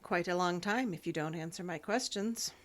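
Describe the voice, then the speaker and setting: An actress playing a cool, patient, quietly menacing interrogator with measured, insistent questions in an audio drama. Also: female voice, on the low side, lightly acted. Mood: probing, cool. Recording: loud music behind.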